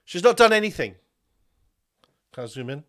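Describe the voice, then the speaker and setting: Man, slightly high pitch, indignant commentary rant, lots of restarts and repeats. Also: very animated, normal speed, a bit bright. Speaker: media commentator. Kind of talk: ranting commentary video.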